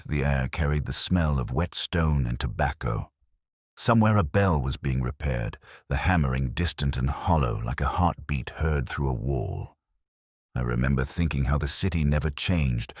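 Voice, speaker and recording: A man playing a weary, melancholy older police inspector, narrating a mystery drama in a measured, wry, literary manner. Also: extremely low pitch, no echo, studio quality. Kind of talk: slow literary first-person mystery narration.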